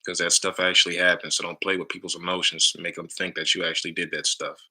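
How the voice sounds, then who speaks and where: Deep-voiced man leading a live mantra stream. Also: level tone, fast, bright, forceful tone. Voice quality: deep baritone. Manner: loose, repeats "right?" often. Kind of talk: casual live stream talk with chanting.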